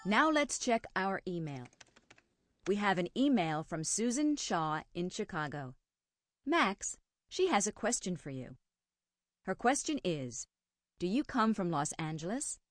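A woman's voice: medium pitch, sing-song, unhurried, dry recording, clean studio sound. An American woman hosting a radio show in scripted English-teaching dialogue.

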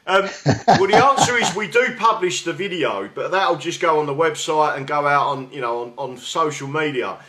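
A man's voice: on the high side, moderate pace, bright, forceful tone. A man with a South London accent hosting a radio show, talking in a casual, hesitant way with many restarts. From England. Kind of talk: casual interview chat. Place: radio studio. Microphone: studio mic with big headphones.

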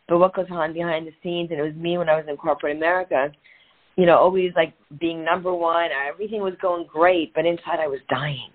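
A woman's voice: on the low side, steady tone. Earnest woman guest on a tinny line. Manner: earnest and reflective, speaks in short phrases with pauses. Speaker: guest, author and transformational coach. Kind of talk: candid personal story in a podcast interview.

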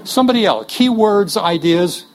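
Gravelly man teaching pastors. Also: high voice, lively intonation, moderate pace, slight background noise. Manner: earnest, joking asides, frequent restarts. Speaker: workshop leader teaching pastors. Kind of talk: conversational teaching talk.

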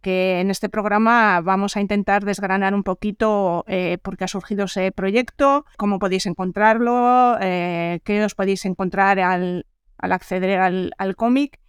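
A woman hosting a casual radio interview, chatty and friendly, often pausing with fillers. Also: mid-range voice, fairly even intonation, clear, resonant tone, no echo, studio quality. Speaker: radio show host.

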